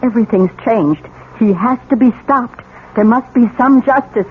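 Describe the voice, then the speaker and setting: A woman's voice: fairly high pitch, moderate pace, lightly acted. An actress playing a troubled, determined middle-aged American mother, hesitant yet insistent, in a 1970s radio drama. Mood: insistent, pleading. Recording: tinny narrow-band old radio, faint hiss.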